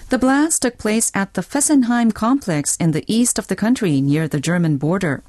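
A female newsreader reads a scripted bulletin. Her pitch is average for a woman, and the recording is very dry. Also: lively intonation, normal speed, a little mellow, dry recording. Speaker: radio newsreader. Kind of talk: scripted news reading.

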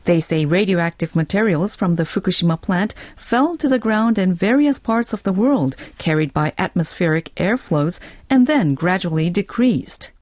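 A woman's voice: lowish voice, animated, moderate pace, few pauses, a little mellow, no room sound. Female radio news reader, dry sound. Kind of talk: scripted radio news bulletin.

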